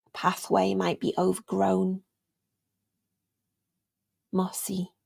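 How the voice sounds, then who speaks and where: A woman speaks as a meditation guide, slow and soothing with long pauses. Her voice is husky and very creaky, often fading toward a whisper. The sound is close and dry. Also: mid-range voice, monotone, no room sound. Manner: gentle, soothing, unhurried with long pauses, trailing into near-whisper. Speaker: meditation guide, yoga teacher.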